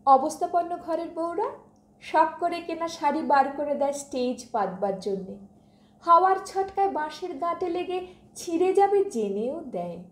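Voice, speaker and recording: A woman reciting poetry expressively, with dramatic, deliberate phrasing and pauses. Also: very high-pitched, expressive. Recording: roomy, echoing voice recording.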